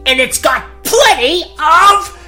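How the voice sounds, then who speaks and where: A man voicing a cowardly, blustering young prince who stammers excitably in a cartoon parody. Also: very high voice, takes it slowly, soft background music, voice pushed up, exaggerated voice. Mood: cocky. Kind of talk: cartoon gag-dub parody.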